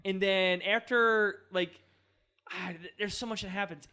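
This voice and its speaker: A man's voice: high-pitched, steady tone, a little slow, pushed and bright, clean tone. A man co-hosting a film podcast in a casual, conversational style. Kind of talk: casual chat about a film.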